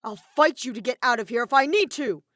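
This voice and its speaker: A woman voicing a defiant, hot-headed teenage boy in an audiobook, growling and impatient in a forceful way. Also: a little high, lively intonation, brisk, no echo, clean studio sound, voice pushed up. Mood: angry. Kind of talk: character voice in fan fiction audiobook.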